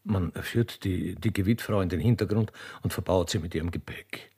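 A man's voice: low-pitched, normal speed, darkish tone, slightly rough. A man giving theatrical, literary scripted narration of a comic radio play.

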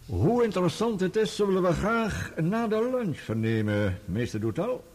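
Stern judge, highish voice that moves up and down a lot, dry formal radio drama style. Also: male voice, sing-song, moderate pace, darkish tone, full character voice. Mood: dry, admonishing. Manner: formal, dryly admonishing. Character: stern, dryly formal male judge presiding in court. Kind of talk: courtroom radio play acting.

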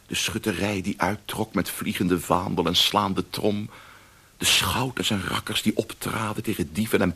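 An actor playing a wry storyteller, narrating a radio play expressively with savoured pauses and asides. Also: male voice, sits low, gravelly, sharp tone, breathy edge, heavily acted. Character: wry male storyteller of old Amsterdam.

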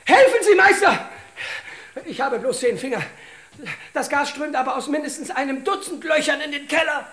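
An actor playing a wisecracking, deferential detective's sidekick in an old German crime radio play, speaking in droll, mock-formal understatement. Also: male voice, very high voice, animated, normal speed, a bit bright. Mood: excited, alarmed.

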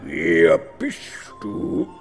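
An actor voicing a bitter, reproachful old talking tree in a radio play, creaky and grandly declamatory. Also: male voice, drawn-out words. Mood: mocking surprise. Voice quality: creaky, gravelly. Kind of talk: theatrical German radio play acting. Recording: studio radio play with music behind.

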